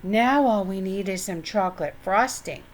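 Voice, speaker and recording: A woman voicing a cheerful, eager young mischievous boy in a children's storybook, with an excited way of talking. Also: mid-range voice, normal speed, a little hiss or hum, lightly acted.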